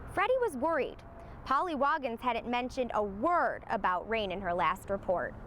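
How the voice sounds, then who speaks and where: A woman narrates a children's storybook expressively. Her voice sits high and rises and falls a great deal. There is clear noise in the background. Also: moderate pace. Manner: expressive read-aloud. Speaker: storybook reader, meteorologist.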